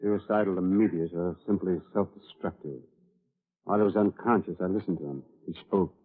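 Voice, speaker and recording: An actor in a vintage radio thriller playing a firm, measured prison doctor who coaxes his patient. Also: sits low, slightly rough. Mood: probing, calm. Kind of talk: 1940s radio thriller acting. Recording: tinny, narrow-band vintage radio recording.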